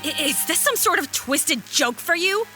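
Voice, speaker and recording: A woman's voice: extremely high pitch, expressive, moderate pace, music in the background. A woman playing a sarcastic, plucky young fighter with a wry, feisty manner in a fantasy audio drama. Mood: defiant.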